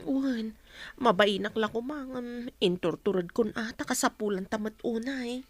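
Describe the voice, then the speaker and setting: A woman's voice: fairly high pitch, measured pace, no room sound. A woman playing a meek, earnest young wife with an anxious, deferential way of talking, in a radio drama. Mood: embarrassed, low.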